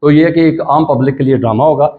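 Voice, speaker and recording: A man with a Pakistani accent gives an informal, explanatory interview answer in a conversational way. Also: on the high side. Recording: dull lo-fi event interview.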